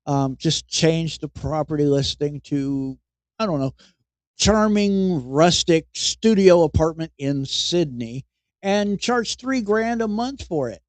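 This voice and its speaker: A man hosting a podcast, giving mocking news commentary in a halting way and chuckling at his own jokes. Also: on the high side, no echo. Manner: halting, repeats words as he goes. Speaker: podcast host.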